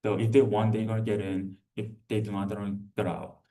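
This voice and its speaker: Halting young man, low muted voice, distant mic. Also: level tone, warm tone. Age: young adult. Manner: halting, repetitive, heavy use of "like". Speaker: student presenter. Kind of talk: informal conference presentation. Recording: distant microphone.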